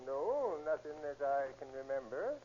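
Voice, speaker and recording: A man's voice: medium pitch, moderate pace, darkish tone, lo-fi sound, a little hiss or hum, lightly acted. An actor playing a folksy, hesitant old workman who repeats his words, in 1930s radio drama style on an old recording.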